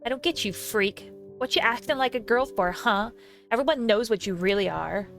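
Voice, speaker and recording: A woman's voice: fairly high pitch, expressive, moderate pace, a bit bright, soft background music. A woman doing a sneering boy bully. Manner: sneering, whining when hurt. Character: cruel, boastful boy village bully, chubby.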